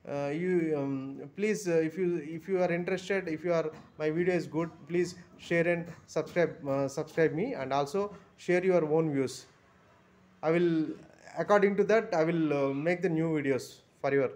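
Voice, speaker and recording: A man with an Indian English accent talks through a casual review on a phone camera, pausing often with fillers and restarts. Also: a little high, a little mellow. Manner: halting, many fillers and restarts. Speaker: vlogger reviewing a bus trip. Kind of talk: casual product review vlog. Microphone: phone camera held over items.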